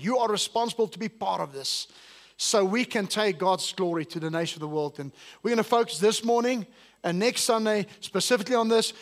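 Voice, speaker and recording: A male pastor, whose first language is not English, preaching a sermon to his congregation and asking them questions. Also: high voice. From South Africa. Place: church service.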